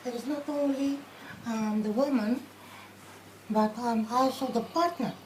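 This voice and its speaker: A woman guest on a radio panel who speaks hesitantly, with many pauses and broken non-native grammar. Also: fairly high pitch, echoey room, a little hiss or hum, rough recording. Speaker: studio guest.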